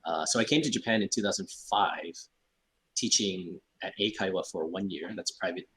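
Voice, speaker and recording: A man's voice: low-pitched, moderate pace, fairly bright tone, breathy edge, rough recording. A man giving plain, explanatory answers as a guest in a casual interview. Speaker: guest translator.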